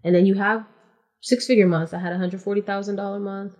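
A woman from California speaks at a mid pitch as a podcast guest in a casual interview. She explains things plainly and step by step, often leaning on "like" as she goes. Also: steady tone, fast, a little mellow, highs cut off. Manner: matter-of-fact, explains step by step with frequent "like".

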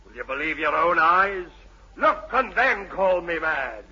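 A man's voice: a little high, animated, a little slow, bright, forceful tone, breathy edge, full character voice. An actor playing a mad, obsessed old sea captain and domineering father, in salty archaic seafarer's speech, in an old radio drama. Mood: fierce, insistent. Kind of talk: 1940s-50s radio drama acting. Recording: muffled old radio broadcast, faint noise.

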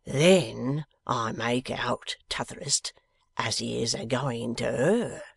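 A man's voice: mid-range voice, slow pace, dry recording, clean studio sound, heavily acted. A man voicing a coarse, sly lock-keeper from a novel, growling with an insolent manner. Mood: sly, deliberate. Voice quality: growling, gruff. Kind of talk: dramatised novel reading.

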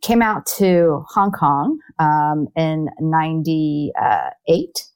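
A woman's voice: low voice, extremely slow, darkish tone. A woman in her forties from Taiwan, answering interview questions in a reflective way, with fillers and restarts. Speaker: guest, alumna.

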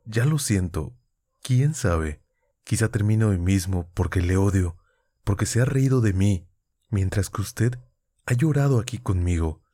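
A man's voice: fairly low pitch, dark tone, no room sound, clean studio sound. A man voicing an impassioned, tearful young woman in love, speaking urgently and pleadingly. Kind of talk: audiobook character voice.

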